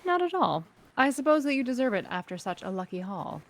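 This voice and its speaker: A woman playing a cool, suspicious crime syndicate boss, speaking formally and with measured skepticism in a sci-fi table read. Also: fairly high pitch, very animated. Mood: probing, skeptical.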